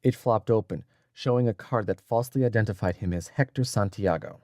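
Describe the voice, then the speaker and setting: A man reading scripted adventure fiction as an audiobook narrator, in a dramatic, measured storytelling manner. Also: fairly low pitch, a little mellow, no room sound, studio quality.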